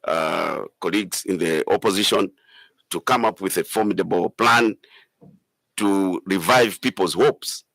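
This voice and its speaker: Zambian politician man, gravelly lowish voice, lots of pauses, choosing words carefully, dry sound. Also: fairly bright tone, no room sound. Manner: hesitant, deliberate, pausing often. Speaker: politician, member of parliament. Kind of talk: spoken interview remarks.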